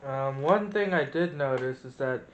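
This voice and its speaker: A man's voice: medium pitch, unhurried, lo-fi sound. A man presenting a casual hands-on experiment, thinking aloud as he demonstrates. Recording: roomy echo, noticeable background noise.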